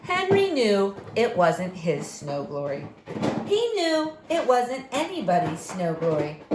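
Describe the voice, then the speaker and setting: A woman's voice: mid-range voice, noisy background. A woman reading a picture book aloud expressively to young listeners. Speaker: story reader for children. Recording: echoey room.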